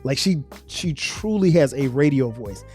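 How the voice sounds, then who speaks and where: South carolina guy hosting a podcast, kinda nasal and stuffy, dark warm voice, lots of restarts. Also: medium pitch, lively intonation, unhurried, soft background music. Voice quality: slightly nasal. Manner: rambling, conversational, many restarts. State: congested sinuses. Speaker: podcast host. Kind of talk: casual solo podcast talk.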